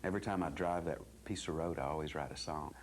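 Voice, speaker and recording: Low-voiced halting male songwriter. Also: a little hiss or hum. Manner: halting, full of restarts. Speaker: songwriter interviewee. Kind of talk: hesitant interview talk.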